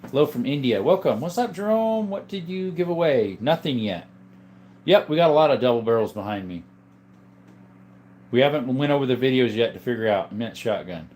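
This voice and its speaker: A man hosting a casual livestream from a room full of guns, reading viewer comments aloud in a relaxed, joking way. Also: medium pitch, brisk, slight background noise. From Arizona. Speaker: livestream host reading chat. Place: indoors, room with guns on display.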